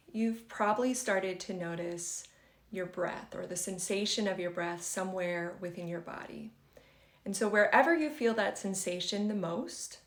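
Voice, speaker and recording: Echoey woman guiding a meditation. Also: mid-range voice. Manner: gentle, conversational, reassuring phrasing. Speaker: meditation guide. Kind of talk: guided meditation instruction for beginners. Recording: roomy, echoing sound.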